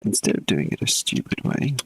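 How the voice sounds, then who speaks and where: A man's voice: fairly quick, a little hiss or hum. A man streaming a live coding session, thinking aloud as he works and chatting casually with his viewers. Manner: relaxed, mutters while working, chats with viewers between code. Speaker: game developer streaming live.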